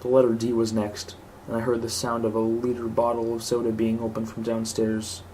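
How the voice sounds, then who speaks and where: A man's voice: medium pitch, monotone, fairly quick, warm tone. A man reading a scripted horror story aloud as narrator. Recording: clearly noisy home recording.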